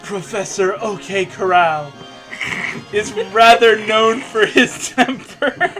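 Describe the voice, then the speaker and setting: High-pitched, slow-paced man stumbling through a read-aloud. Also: fairly bright tone. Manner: stammering, stumbling over the text. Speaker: co-host reading fan fiction aloud. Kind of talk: mocking amateur read-aloud with jokey asides. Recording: music playing behind the voice. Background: video game music.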